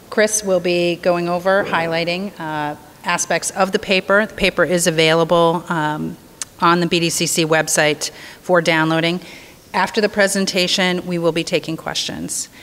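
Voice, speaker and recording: A middle-aged woman hosting an event, introducing the next speakers at a podium microphone in a relaxed way with many "uh"s. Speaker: event host. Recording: reverberant hall with faint rumble.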